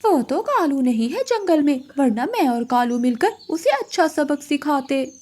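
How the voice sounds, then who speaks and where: A woman voicing a sly, gossiping female crow who goads others in an animated moral story. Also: sits high, measured pace. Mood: sly, goading. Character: scheming, gossiping female crow stirring up quarrels.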